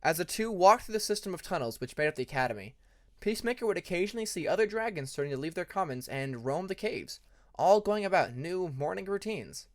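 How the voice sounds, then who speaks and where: A narrator reading fan fiction aloud in an expressive audiobook style. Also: medium pitch, quick pace.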